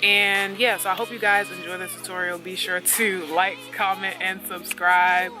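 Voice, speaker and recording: A woman's voice: on the low side, level tone, moderate pace, music in the background. A young woman chatting casually through a hair tutorial on her own channel, rambling with lots of ums and filler words. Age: young adult. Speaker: beauty vlogger.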